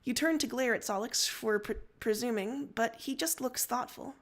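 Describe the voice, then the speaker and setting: A woman's voice: fairly high pitch, moderate pace. A woman hosting a livestream, moving between story narration and casual chat. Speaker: livestream story reader and host.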